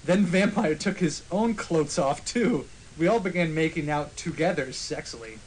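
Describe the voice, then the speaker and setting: A man's voice: a little high, animated, moderate pace, a little hiss or hum, poor audio. A man narrating a comic dramatic reading of fan fiction as a podcast host, in a mock-serious manner.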